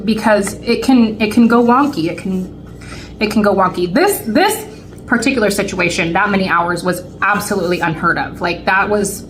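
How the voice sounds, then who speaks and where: A woman's voice: medium pitch, a little hiss or hum, poor audio. A woman in her late forties co-hosting a vlog, chatting casually with lots of fillers and restarts. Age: 40s. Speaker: vlog co-host. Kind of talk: casual chat to camera.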